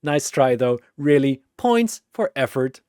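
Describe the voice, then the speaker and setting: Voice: medium pitch, very animated, a little slow, darkish tone, clear, resonant tone, no room sound, clean studio sound. A narrator voicing a chaotic, arrogant triangle demon with a theatrical, indignant manner in an audio story. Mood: mocking, smug.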